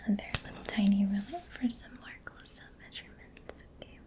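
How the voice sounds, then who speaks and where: A woman doing soft-spoken ASMR roleplay in a breathy whisper, gentle, attentive and reassuring, close to the microphone. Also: medium pitch, lively intonation, brisk. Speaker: ASMR performer. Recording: faint background noise.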